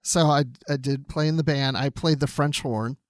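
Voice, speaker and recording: A man's voice: mid-range voice, fairly even intonation, moderate pace, dry recording. A man co-hosting a podcast in casual, chatty conversation, readily agreeing with his partner. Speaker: podcast co-host.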